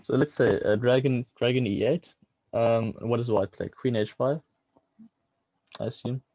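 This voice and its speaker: A man's voice: medium pitch, measured pace, warm tone, dry recording, highs cut off. A man co-commentating a chess match, working through move sequences aloud in a thoughtful way. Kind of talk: chess commentary, calculating variations.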